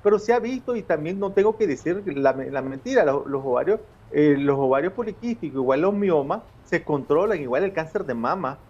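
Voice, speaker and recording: Casting: fifties Nicaraguan man, doctor explaining, fairly high voice, slightly muffled video-call sound. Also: expressive, fairly quick, hardly any pauses, a little mellow. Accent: Nicaraguan Spanish. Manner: explanatory, with frequent eh fillers and restarts. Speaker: gynecologist guest. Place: home office with bookshelves. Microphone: video call from home.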